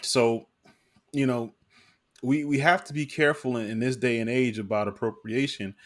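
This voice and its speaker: A man's voice: mid-range voice, fairly even intonation, normal speed. A man co-hosting a podcast, talking earnestly in a casual discussion, often restarting and adding "you know". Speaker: podcast co-host.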